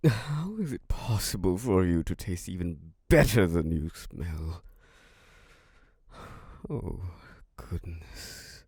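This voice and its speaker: A hungry, predatory vampire lord, as a man plays him for an ASMR roleplay, his voice very deep and raspy with a gravelly edge. He draws every word out at an extremely slow pace, sinking into close, dry whispers right at the microphone. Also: big pitch swings, no echo, exaggerated voice. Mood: craving, strained. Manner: slow, hushed, drifting into whispers. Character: obsessive, predatory male vampire lord, charming turning dominant.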